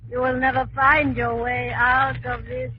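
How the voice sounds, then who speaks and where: Slow, ominous village woman. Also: high-pitched, flat delivery. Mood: menacing. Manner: slow, solemn, foreboding, formal phrasing. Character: ominous local village woman guarding a deadly jungle secret. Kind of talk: old-time radio drama acting. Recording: muffled, hissy old radio drama recording.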